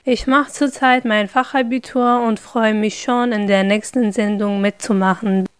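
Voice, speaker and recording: Woman, 20s, from Afghanistan, clear voice, dry studio sound. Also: on the high side, fairly even intonation, unhurried, no echo. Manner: reads a short self-introduction. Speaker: new radio team member.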